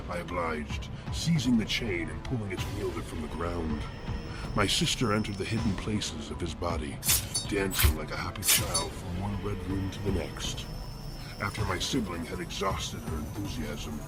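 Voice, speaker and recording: A man playing a cold, courtly, macabre killer who narrates horror fiction in formal, ornate, deliberate language with cool menace. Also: sits low. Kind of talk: scripted first-person horror narration. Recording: loud music behind the voice. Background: loud music.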